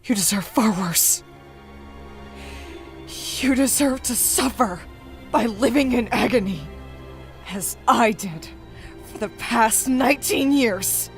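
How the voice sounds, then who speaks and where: A woman's voice: on the high side, slow pace, pauses often, soft background music. An actress playing a cold, bitter, long-suffering woman in a dramatic video game scene, speaking in an accusing way. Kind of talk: dramatic game cutscene acting.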